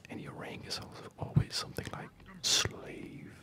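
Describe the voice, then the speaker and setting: A man doing relaxed, chatty ASMR game commentary in a soft, breathy whisper. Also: moderate pace. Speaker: host of an ASMR gaming channel. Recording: background game music. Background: loud game music.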